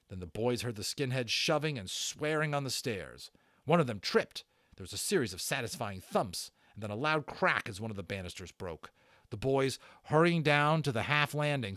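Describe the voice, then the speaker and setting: A male narrator reads a storybook dramatically. His medium-pitched voice moves very freely up and down, building suspense theatrically. The recording is dry, with no room sound. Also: fairly bright tone, no echo.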